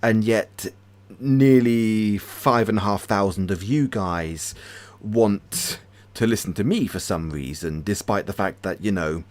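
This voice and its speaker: Slow-talking British man, low voice. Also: a little mellow. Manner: hesitant, lots of ums and restarts, friendly. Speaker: ASMR channel host. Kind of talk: casual livestream chat.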